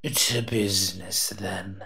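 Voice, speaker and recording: A man's voice: sits low, fairly even intonation, takes it slowly, sharp tone, heavily acted. A man voicing a sardonic, protective and menacing monster who teases and mutters asides in a roleplay audio drama. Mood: teasing, amused. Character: eight-foot male smoke monster, sardonic, menacing, protective.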